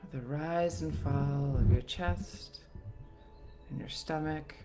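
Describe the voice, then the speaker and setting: A woman leading a guided meditation in a soothing, gentle, unhurried manner with long pauses. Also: low-pitched. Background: soft background music.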